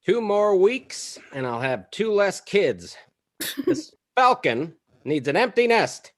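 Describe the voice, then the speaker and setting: A man's voice: sits high, very animated, slow, a bit bright, exaggerated voice. A man playing a wisecracking suburban dad close to retirement, in a hammy comedy table read. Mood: smug.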